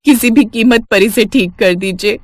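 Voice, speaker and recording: A woman's voice: fairly high pitch, moderate pace, dry recording, studio quality, lightly acted. A woman voicing a poor, anxious young wife and mother in a Hindi moral-story cartoon, concerned and pleading. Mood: distressed, pleading.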